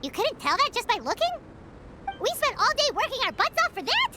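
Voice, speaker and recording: A woman voicing a tiny, childlike female fairy companion in a video game, pouty, excitable and blunt, with a squeaky voice. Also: brisk, noisy background, exaggerated voice. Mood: doubtful, exasperated. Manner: refers to herself in third person, complaining. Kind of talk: English video game character dialogue. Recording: music behind the voice.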